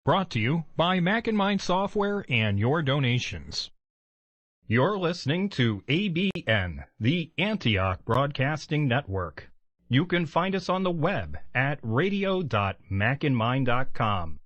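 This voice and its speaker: Slow, lilting male station announcer. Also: on the high side, very animated, fairly bright tone, no echo, highs cut off. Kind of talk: scripted programme introduction.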